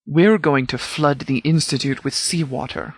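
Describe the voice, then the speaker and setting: A man playing a stern, composed sheriff in a video game, speaking with formal, clipped military authority in a slightly higher voice. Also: normal speed, breathy edge, no echo. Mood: grave, resolute. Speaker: commanding officer. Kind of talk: scripted video game dialogue.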